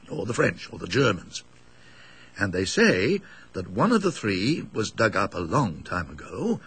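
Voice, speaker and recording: A man performs a kindly old English country rector from a storybook ghost tale. The voice is very husky and gravelly, with lively swings of pitch. He muses and hesitates like a scholar fond of talking. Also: full character voice. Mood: confiding. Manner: musing, hesitant, donnish, emphatic storytelling. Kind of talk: dramatised ghost story reading.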